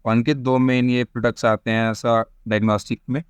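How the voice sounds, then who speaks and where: A man's voice: mid-range voice, little pitch movement, a little fast, smooth, no room sound. A man with an Indian accent giving an informal stock explainer, switching often between Hindi and English as he addresses viewers familiarly. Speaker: stock analysis presenter. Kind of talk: informal explainer commentary with screen share.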